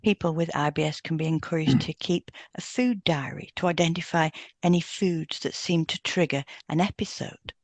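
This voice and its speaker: A woman co-hosting a health podcast, reading scripted material in a measured, explanatory way and carefully sounding out technical terms. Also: sits low, no echo. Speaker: podcast co-host and hypnotherapist. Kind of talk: scripted educational narration.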